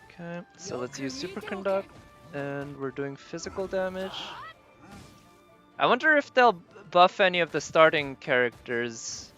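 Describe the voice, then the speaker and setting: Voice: mid-range voice, lively intonation, a little slow, bright, forceful tone. A streamer chatting casually with viewers during a game, thinking aloud in a musing, hesitant way. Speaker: live streamer. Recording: game music behind the voice. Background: video game music.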